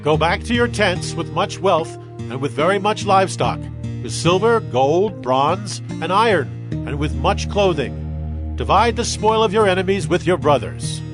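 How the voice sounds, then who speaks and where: An actor playing a commanding Israelite leader, earnest and declamatory, in a dramatized scripture reading. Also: male voice, high-pitched, lively intonation, normal speed, over music. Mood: generous, commanding.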